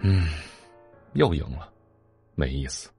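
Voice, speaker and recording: A man's voice: very animated, slow, mellow, breathy edge, faint music behind, exaggerated voice. An actor playing an aloof, laconic young rich man with a listless manner in a Chinese audio drama. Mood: bored, languid. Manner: terse, low, listless.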